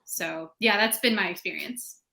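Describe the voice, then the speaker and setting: A woman's voice: mid-range voice, moderate pace, gravelly, pushed and bright. A woman speaking as a panelist, explaining the creative process in casual conversation. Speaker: panelist, audio fiction creator.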